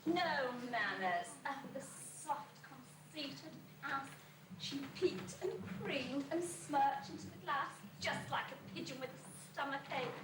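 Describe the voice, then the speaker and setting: A high-pitched, swooping ugly stepsister played by a woman in a comic pantomime. She is catty, gossipy and haughty, declaiming in rhyme. The sound is distant and very noisy, like an amateur stage recording. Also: reverberant, full character voice. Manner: exaggerated, haughty, theatrical delivery. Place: school hall stage, distant microphone.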